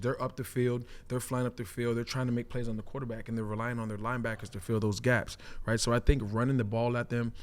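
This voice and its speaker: A man with an American accent analysing football casually on a podcast, often tagging his points with a checking question. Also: mid-range voice. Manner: often tags statements with "right?". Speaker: former player and podcast analyst.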